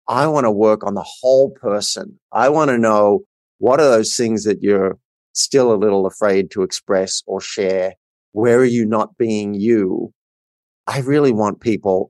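Low-voiced middle-aged Australian man. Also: no echo. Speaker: podcast guest, life and business coach. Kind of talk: personal storytelling in an interview.

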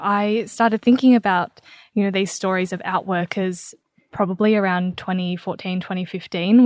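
A woman's voice: lowish voice, no echo, clean studio sound. A woman with an Australian accent, telling a story conversationally as an interview guest. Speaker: podcast guest, writer and editor.

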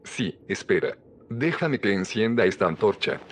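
Low-voiced secretive scientist in echoing cave. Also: male voice, big pitch swings, moderate pace. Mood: guiding, mysterious. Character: calm, secretive male scientist leading a hidden community. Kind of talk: audio drama dialogue. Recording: reverberant cave with noisy ambience.

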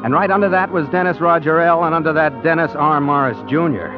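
An actor playing a chipper, guileless, self-satisfied private detective, narrating breezily in 1940s radio drama style. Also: male voice, a little high, quick pace, music in the background, natural voice. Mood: chipper, smug. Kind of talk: 1940s radio acting, first-person narration. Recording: muffled 1940s radio recording.

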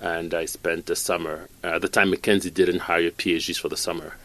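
A man in his forties from Cameroon, a business executive giving a relaxed studio interview, restarting phrases as he goes. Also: low-pitched. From born in Cameroon, raised in Canada. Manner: talks in quick bursts, repeats and restarts phrases. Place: radio studio.

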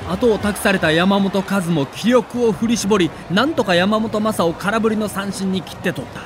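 A man with a somewhat high voice narrates an audio documentary as a sports reporter. His delivery is scripted, theatrical and emotive. A little noise sits behind the voice. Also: moderate pace.

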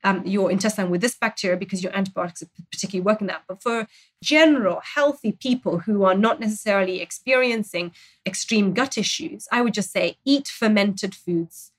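A woman who grew up in Zambia, a dietitian guest on a talk show, explaining calmly and earnestly. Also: mid-range voice, fairly quick. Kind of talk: expert explaining in interview.

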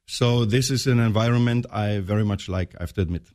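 A man from northern Germany with a German accent, answering interview questions in a polite, measured way with hesitant fillers. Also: lowish voice, a little mellow. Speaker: soccer coach guest.

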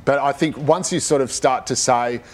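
Male Australian panellist, mid-pitched, colloquial and emphatic, airing opinions in discussion. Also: normal speed. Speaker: radio panellist.

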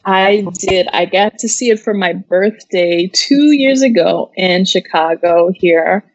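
A woman's voice: medium pitch, slow, no echo, dull audio. An American woman co-hosting an entertainment show in casual, chatty talk sprinkled with "like". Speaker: co-host of an entertainment news show. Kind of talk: casual chat.

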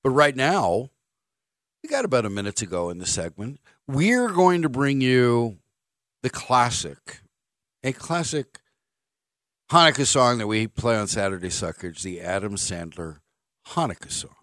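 A man's voice: mid-range voice, animated, measured pace, fairly bright tone, no echo. A middle-aged man hosting sports talk radio from a studio mic, blunt and sarcastic as he reads listener texts and gives his opinions. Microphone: studio microphone.

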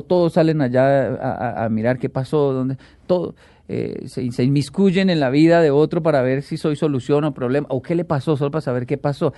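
A man, a talk show guest who tells animated stories and acts out the quotes. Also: a little high, no room sound. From Colombia. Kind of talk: casual chat.

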